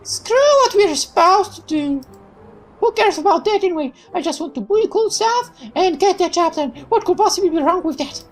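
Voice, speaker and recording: A woman reading aloud as a spirited young female mechanic in a visual novel, talking in a high, exclaiming, indignant voice. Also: lively intonation, moderate pace, soft background music. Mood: eager.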